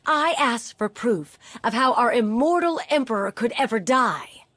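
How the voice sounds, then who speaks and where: A woman's voice: a little high, animated, a little slow, fairly bright tone, dry recording. An actress playing a frightened young female refugee in a video game, speaking in a shaken, urgent way. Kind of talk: video game cutscene acting.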